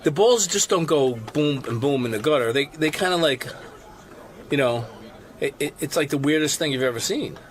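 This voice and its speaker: A man's voice: fairly high pitch, lively intonation, normal speed. A man being interviewed casually, rambling eagerly and repeating himself as he answers.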